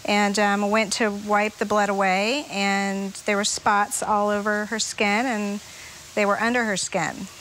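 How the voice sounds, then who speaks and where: A woman's voice: mid-range voice, level tone, a little slow, a bit bright, a little hiss or hum, rough recording. A woman giving a personal account as an interviewee in a news story. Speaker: interviewee, patient's mother.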